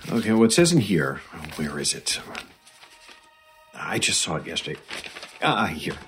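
An actor playing an earnest, patient government psychologist in an audio drama, raspy, placating and a little hesitant. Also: male voice, sits low, sing-song, unhurried, a bit bright, faint music behind, full character voice. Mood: earnest, curious.

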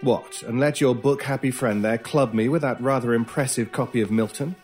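An actor playing a cool, teasing, mysterious British stranger in a horror radio drama, with a measured, theatrical and wry way of talking. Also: male voice, medium pitch, a little mellow, faint music behind, natural voice. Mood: dry, mocking. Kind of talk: old time radio style horror audio drama.

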